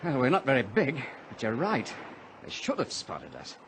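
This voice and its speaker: An actor playing a calm, reassuring pilot stranded at sea, in a measured old radio adventure style. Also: male voice, mid-range voice. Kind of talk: 1940s-50s radio adventure acting. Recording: noisy vintage radio drama recording.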